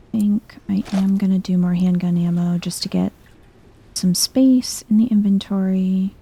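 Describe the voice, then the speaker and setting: A soft-spoken woman giving hushed ASMR-style game commentary, musing to herself in an unhurried, breathy way and often dropping into a whisper. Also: mid-range voice. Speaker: video game streamer, let's player.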